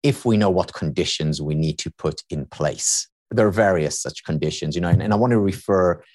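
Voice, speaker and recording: A man's voice: deep voice, a little fast, darkish tone. A man whose first language is Hebrew, a guest on a podcast who explains his ideas in a lecturing way and builds each point through repetition. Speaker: podcast guest, happiness researcher and teacher. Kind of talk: explanatory interview talk.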